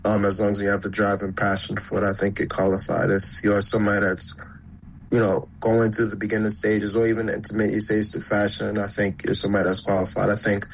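A fairly low-voiced man with a gravelly edge, speaking as a guest designer. He talks in a flat, measured, earnest way, with faint noise in the background. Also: low-quality recording. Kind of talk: casual interview.

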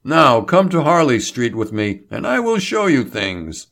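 A man voicing an excitable male doctor, a scornful crank who snorts and cries out as he lectures. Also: mid-range voice, unhurried, gravelly, exaggerated voice.

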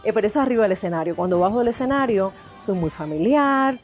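A woman with a Cuban accent, a singer talking as a guest in an interview, warm and effusive, laughing often. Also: medium pitch, lively intonation, quick pace, faint music behind. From Cuba. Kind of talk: interview chat.

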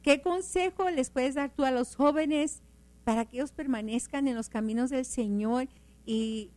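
Host, woman, raised pitch, dry close recording, friendly interviewer. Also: darkish tone, dry recording. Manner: friendly, affirming, asks questions. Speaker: radio host.

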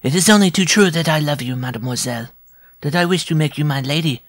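A man voicing a proud, irascible, lovesick little French circus dwarf from a storybook, shrill and grandiose in manner. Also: fast talker, a bit bright, no echo. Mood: indignant. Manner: grandiose, theatrical, florid. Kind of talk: storybook character voice.

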